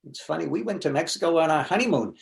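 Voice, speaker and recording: A high-pitched, neurotic film critic played by a man in a stage comedy. He complains in a deadpan, self-pitying way about his divorce. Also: quick pace, vocal fry. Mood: wry self-pity. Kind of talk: stage comedy readers theater acting.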